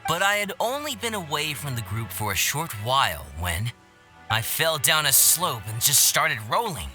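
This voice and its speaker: Earnest, very animated young adventurer. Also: male voice, fairly high pitch, moderate pace, faint music behind, heavily acted. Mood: animated storytelling. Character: earnest, excitable, good-natured young male adventurer. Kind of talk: video game cutscene storytelling.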